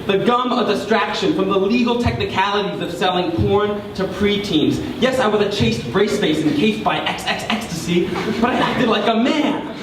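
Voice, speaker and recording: A young man performing theatrical spoken word poetry on stage, with dramatic, deliberate phrasing and drawn-out emphasis. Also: high-pitched. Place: large room before a live audience. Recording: echoey hall, distant mic, clear background noise.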